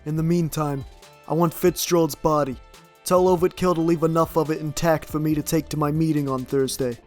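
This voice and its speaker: An actor playing a ruthless mafia boss in a comic book audio drama, giving blunt, menacing orders. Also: male voice, on the high side, fairly even intonation, fairly quick, a little mellow, faint music behind, close to an everyday voice. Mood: cold threat.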